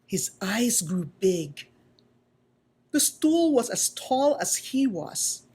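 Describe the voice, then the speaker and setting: A woman reading a children's story aloud in a deliberate, expressive way, like a school storytime. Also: on the high side, breathy edge. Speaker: school principal reading aloud, religious sister. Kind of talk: scripted children's storybook narration.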